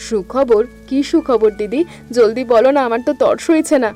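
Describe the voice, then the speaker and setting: A woman's voice: on the high side, normal speed, warm tone, faint music behind, natural voice. A woman voicing an eager young village woman who wants to earn her own living, impatient and keen in a cartoon. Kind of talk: cartoon voice acting.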